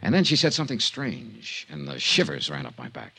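An actor playing a cool, wry American insurance investigator who narrates a 1950s radio mystery in a clipped, commanding manner. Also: male voice, medium pitch, wildly swooping pitch, fairly quick, gravelly, pushed and bright, slightly rough, exaggerated voice. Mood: somber. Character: hard-boiled male insurance investigator, cool, wry, sure of himself. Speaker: lead and narrator.

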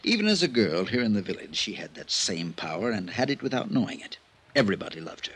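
Radio play doctor: deep husky man, middle-aged, harried, remorseful, halting confessional delivery. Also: big pitch swings, fairly bright tone, full character voice. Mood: wistful, halting. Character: harried, worn-out village doctor under sixty, remorseful drunk. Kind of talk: old time radio drama acting.

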